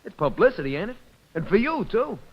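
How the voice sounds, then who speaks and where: An actor playing a brash, wheedling press agent, pushy and excitable, in an old-time radio drama. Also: male voice, sits high, brisk. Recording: muffled old radio recording.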